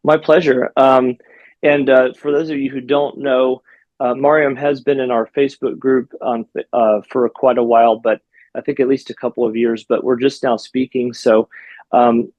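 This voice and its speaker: A man hosting a podcast in a friendly, chatty way, with lots of ums and small restarts. His voice sits at an ordinary middle pitch, recorded close and dry with no room sound. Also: flat delivery, moderate pace, no room sound, dull audio. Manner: friendly, hesitant with fillers. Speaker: podcast host, physical therapist. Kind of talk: casual interview podcast.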